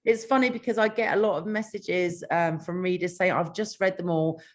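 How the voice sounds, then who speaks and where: A woman's voice: on the low side, steady tone, moderate pace, a little mellow. A British woman, an author, who reads fiction aloud and chats casually about it. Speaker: author and show host, guest. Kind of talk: casual chat and reading own fiction aloud.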